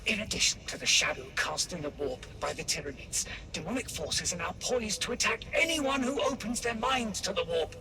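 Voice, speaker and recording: A man's voice: high voice, lively intonation, normal speed, hardly any pauses, pushed and bright, a little hiss or hum. A man voicing a haughty, reluctant psychic in a grim space war game, stern, formal and indignant. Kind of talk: dramatic video game acting. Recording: distant, long hall reverb, faint music.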